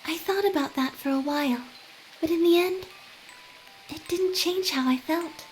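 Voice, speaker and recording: High-pitched young woman, gentle, earnest, wistful, inquisitive, video game character, music behind. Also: normal speed, poor audio.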